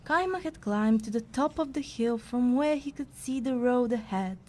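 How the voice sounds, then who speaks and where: A woman's voice: on the high side, lively intonation, moderate pace, mellow, natural voice. A woman playing a wry narrator-scribe who trails the hero in a scripted fantasy audio play. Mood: wry narrating.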